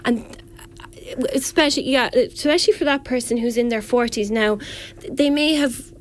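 A woman's voice: a little high, moderate pace, a little hiss or hum. A woman speech therapist explaining as a radio guest, earnest and full of restarts and fillers.

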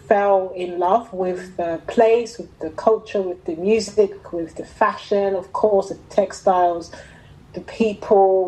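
A middle-aged woman with a slightly low, very mellow voice. She answers interview questions conversationally, thinking aloud and reshaping her sentences as she tells her story. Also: rough recording. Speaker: interviewee, fashion entrepreneur.

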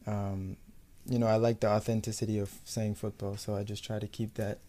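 A young man from the United States speaks as an athlete in a casual interview. His voice sits fairly low and stays quite flat in pitch. He answers in a measured, matter-of-fact way, with a soft, mellow tone. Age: young adult. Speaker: professional footballer being interviewed.

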